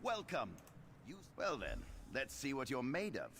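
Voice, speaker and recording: An actor playing a hearty, grandiose battlemaster who relishes bloody arena games in a video game. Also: male voice, mid-range voice, wildly swooping pitch, a little slow, background noise. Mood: hearty challenge. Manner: theatrical, grandiose. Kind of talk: video game character dialogue.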